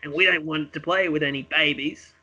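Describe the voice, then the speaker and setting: A man's voice: on the high side, steady tone, brisk, sharp tone, muffled recording. A man voicing a snotty, condescending little girl who bosses others in an amateur radio play. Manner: condescending, bossy.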